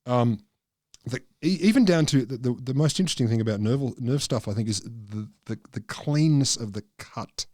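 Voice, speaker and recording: A middle-aged man co-hosting a casual science podcast, explaining from notes in a halting, dryly joking, sweary way into a close microphone. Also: mid-range voice, a little mellow, dry recording. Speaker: podcast co-host. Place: small book-lined room, indoors. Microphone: close podcast microphone, headphones.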